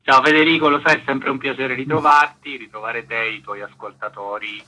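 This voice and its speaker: A man's voice: mid-range voice, steady tone, fairly quick, bright, forceful tone, rough recording. A man answering interview questions as an artistic director, explaining his project. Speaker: interview guest, theatre festival artistic director.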